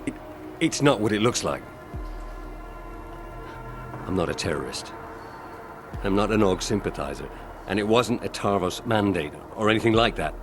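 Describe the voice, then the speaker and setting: An actor playing a guilt-ridden, protective police lieutenant in a video game, speaking in an earnest, guarded, halting way. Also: male voice, lowish voice, animated, music in the background, close to an everyday voice. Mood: halting, defensive. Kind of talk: video game dialogue acting.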